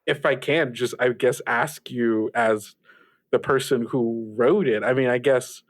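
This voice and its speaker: A man's voice: medium pitch, fairly even intonation, measured pace, close to an everyday voice. A man playing an affable, flustered radio show host in an improvised interview, stammering and awkwardly polite, with a curious manner. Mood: curious, admiring.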